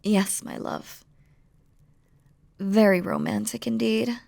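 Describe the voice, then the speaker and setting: Woman voicing a slow, seductive demon queen in love. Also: mid-range voice, fairly even intonation, creaky, a little mellow. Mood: fond, proud. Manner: slow, tender, teasing, regal formal phrasing. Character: feared demon queen, doting, playful, seductive lover. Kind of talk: intimate romantic audio roleplay.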